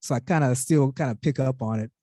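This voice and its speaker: A man hosting a music interview in a casual, measured way, pausing as he goes. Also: medium pitch, dark tone. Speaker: music show host.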